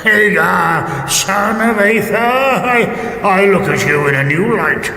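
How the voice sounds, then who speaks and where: A smug, urbane dragon from a radio comedy fantasy. He is pompous, gossipy and easily bored, speaking at an unhurried pace. The voice rings with long reverb over faint noise. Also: high voice. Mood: grandiose. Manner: urbane, pompous, henpecked grumbling.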